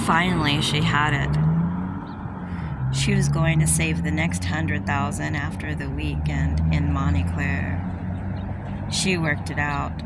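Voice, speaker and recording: A woman's voice: deep voice, vocal fry, heavy background noise. A woman reading a romance novel aloud in an informal, amateur storytelling way, dropping in casual personal asides. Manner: informal, interrupts own reading to comment. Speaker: amateur audiobook reader and vlogger.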